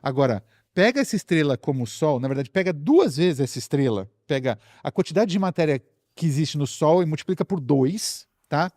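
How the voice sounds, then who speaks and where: A Brazilian man with a fairly high voice talks as a guest science expert. He explains casually, building ideas step by step and repeating phrases for emphasis. The sound is close and dry. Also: animated, brisk, few pauses, a little mellow, dry recording.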